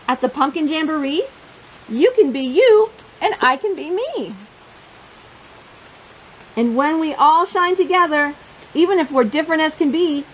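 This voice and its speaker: A woman hosting storytime for young children, reading and chatting in simple, expressive phrases. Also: sits high, slight background noise, low-quality recording.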